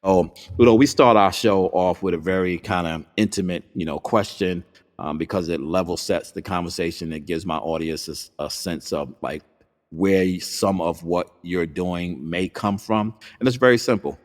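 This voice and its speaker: An earnest middle-aged male host with an extremely deep voice, often pausing on fillers as he talks. He speaks into a studio mic over a video call, with a long reverb. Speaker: podcast host. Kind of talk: interview conversation. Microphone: studio microphone on video call.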